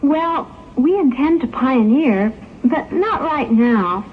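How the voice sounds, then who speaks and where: Tinny old drama tape with hiss. A woman plays an agreeable young graduate, with a high, lively, chirpy voice. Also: takes it slowly. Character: agreeable, security-minded young female graduate. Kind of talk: 1960s amateur audio drama acting.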